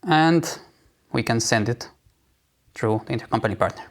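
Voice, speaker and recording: A man presenting a live software demo, explaining it informally step by step. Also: medium pitch, animated, normal speed.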